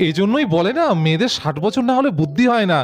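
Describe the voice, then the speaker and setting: A woman voicing brisk, emphatic young men in a doll cartoon. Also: mid-range voice, animated, faint music behind, lightly acted. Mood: exasperated. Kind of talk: cartoon voice acting.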